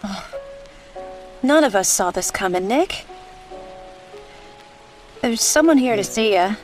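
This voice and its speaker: An actress playing a gentle, sad, loyal detective's secretary in a radio drama. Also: female voice, medium pitch, expressive, slow, faint music behind. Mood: gentle, somber.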